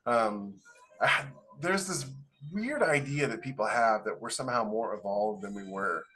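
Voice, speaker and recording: A man's voice: on the low side, lively intonation, unhurried, pushed and bright, slightly rough. A man in a casual panel discussion, talking as a podcast co-host.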